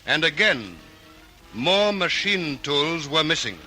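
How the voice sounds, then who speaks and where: A man narrating an old radio crime serial, in a crisp, formal, dramatic style. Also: on the high side, big pitch swings, slow, sharp tone, faint music behind, heavily acted. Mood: earnest, suspenseful. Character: earnest male crime-case narrator.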